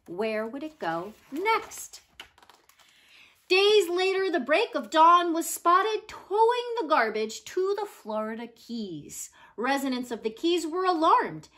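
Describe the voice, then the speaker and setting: A woman narrating a read-aloud story expressively, with dramatic pauses and emphatic stresses. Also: high-pitched, very animated, fairly bright tone. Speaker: reader of a children's non-fiction book.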